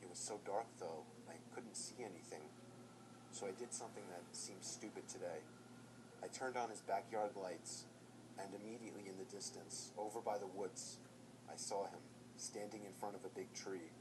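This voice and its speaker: A man telling a first-person horror story in a measured, tense scripted narration. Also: lowish voice, background noise.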